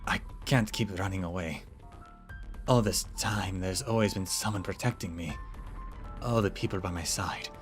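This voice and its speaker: A man voicing a haunted young nobleman who speaks in a low, grim, determined way. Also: normal speed, soft background music, close to an everyday voice. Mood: resolute. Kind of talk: game story read aloud.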